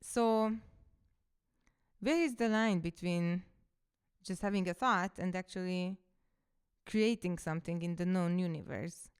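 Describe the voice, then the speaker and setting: A woman's voice: on the low side, a little slow, pauses often, clear, resonant tone. A woman in her thirties, a coach and podcaster whose first language is Hungarian, telling her story in a reflective, casual monologue.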